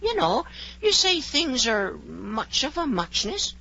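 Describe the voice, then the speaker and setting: Tiny high-voiced sleepy mouse, singsong n touchy, swoopy, old muffled radio show. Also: female voice, sing-song, takes it slowly, creaky, bright, forceful tone, slightly rough, heavily acted. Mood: dreamy storytelling. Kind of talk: 1940s radio comedy acting. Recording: muffled 1940s radio recording.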